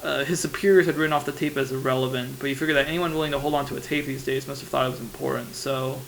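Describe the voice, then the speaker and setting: A man's voice: mid-range voice, steady tone, racing, creaky, fairly bright tone. A young man playing an anxious investigative reporter, rambling hesitantly and informally into a private tape diary. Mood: unsettled. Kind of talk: analog horror monologue, confessional voice memo. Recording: noisy tape recorder with hum.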